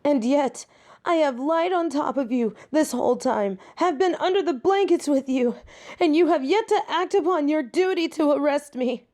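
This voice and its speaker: A woman's voice: high voice, lively intonation, moderate pace, darkish tone. A woman playing a flirty, proud catgirl thief in an intimate audio roleplay, speaking dramatically and emotively to one listener. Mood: frustrated, desperate.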